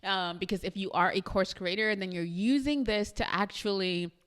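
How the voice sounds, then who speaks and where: A woman's voice: medium pitch, normal speed, a bit bright, clean tone. Enthusiastic Trinidadian woman vlogger. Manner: chatty, enthusiastic, addresses viewers directly with frequent laughs. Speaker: YouTube creator. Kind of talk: casual tutorial vlog.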